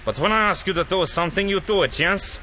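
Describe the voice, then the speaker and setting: A man's voice: high voice, quick pace, pushed and bright, slight background noise, low-quality recording. A man playing a ruthless, menacing, controlling dictator in an audio drama. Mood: cold menace.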